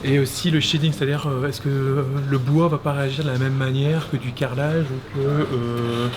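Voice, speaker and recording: A man's voice: medium pitch, level tone. A man casually explaining an animation studio on a walking tour, hesitant and full of restarts. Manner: halting, many restarts and fillers. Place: animation studio offices, indoors. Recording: loud background noise.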